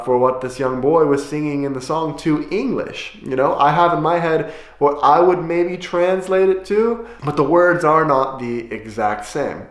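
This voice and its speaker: American man vlogging in an echoey room. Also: a little high. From United States. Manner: reflective, with frequent restarts and fillers. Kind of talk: casual talk to camera.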